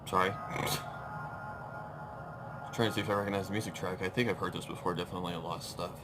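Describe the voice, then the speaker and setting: A man's voice: sits low, steady tone, fast talker. A man giving casual live reactions in short muttered asides, as host of a reaction video. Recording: loud music and narration behind.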